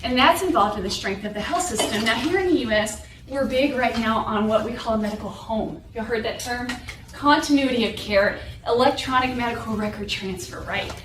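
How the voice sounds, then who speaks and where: A woman's voice: on the high side, brisk. A young woman from New Mexico and Texas with a light Southwestern accent and a North Carolina drawl, giving an academic seminar talk from a podium and often checking in with "right?". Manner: explanatory, often adds "right?" to check in with the audience. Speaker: guest lecturer. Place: lecture room, standing at a podium. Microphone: room recording from a far camera. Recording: echoey room audio from far off with background noise.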